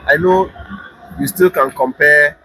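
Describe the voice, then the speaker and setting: A man's voice: a little high, measured pace, pushed and bright, low-quality recording. A man interviewing casually, with informal, teasing questions and frequent short responses. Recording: faint background noise.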